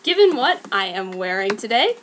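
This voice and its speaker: A young adult woman giving an amateur dramatic reading of badly written fanfiction, sounding out its mistakes deliberately. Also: mid-range voice, sing-song, noisy background.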